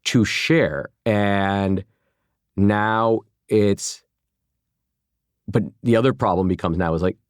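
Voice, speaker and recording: Deep-voiced man in his forties, thoughtful. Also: slow pace, long pauses, dry recording, clean studio sound. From Chicago, US. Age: 40s. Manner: thoughtful, many restarts and "you know" fillers. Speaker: guest, former journalist turned food entrepreneur. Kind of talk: casual interview conversation.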